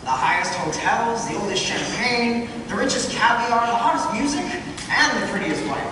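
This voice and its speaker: An actor playing an earnest, excitable young husband in a staged radio play, talking in an animated, pleading way. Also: male voice, very high-pitched, moderate pace. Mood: giddy. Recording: echoey hall, far from mic, noisy.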